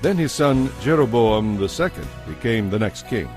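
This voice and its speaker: A man giving a measured, formal scripted narration for a dramatized audio Bible, as narrator. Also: lowish voice, expressive, darkish tone, faint music behind.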